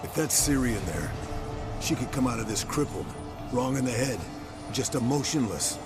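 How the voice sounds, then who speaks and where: An actor playing a blunt, troubled monster hunter in a video game, speaking in clipped phrases. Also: male voice, mid-range voice, animated, moderate pace, music in the background, close to an everyday voice. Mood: worried, reproachful. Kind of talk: dramatic video game acting.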